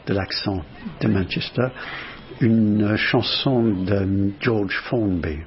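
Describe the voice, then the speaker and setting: An older man, a poet, speaking slowly and deliberately with pauses in a radio conversation, his voice gravelly. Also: lowish voice, a little hiss or hum. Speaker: poet, interviewee. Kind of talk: radio conversation and poetry reading. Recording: dull radio sound with music behind.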